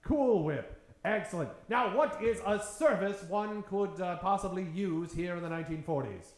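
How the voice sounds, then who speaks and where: A man's voice: high voice, animated, normal speed. A man hosting a live improv show in mock old-time radio style, theatrical and tongue-in-cheek, addressing a theater audience. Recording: roomy, echoing theater stage.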